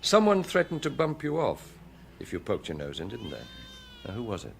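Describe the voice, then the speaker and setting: A man acting in a British radio detective drama as an insistent, authoritative police chief who questions briskly and with impatience. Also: mid-range voice, wildly swooping pitch, creaky, a little hiss or hum. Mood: pressing, methodical. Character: authoritative male police chief, probing, impatient, menacing interrogator.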